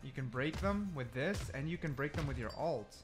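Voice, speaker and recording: A man gives excited gameplay commentary with a very lively, swinging pitch. He thinks out loud in exclamations and repeats himself as he goes, with faint music behind him. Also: moderate pace. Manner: repetitive, exclamatory, thinking aloud. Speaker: gaming content creator testing game mechanics.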